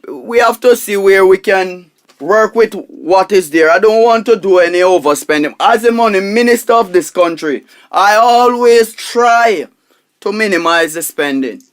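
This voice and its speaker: A man playing a pompous, penny-pinching, excitable husband and money minister with a Jamaican accent in a comic radio drama, blustering and repeating himself. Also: high voice, a little slow, clear, resonant tone. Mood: pompous, fussy. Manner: blustering, repetitive, pompous, exclaiming.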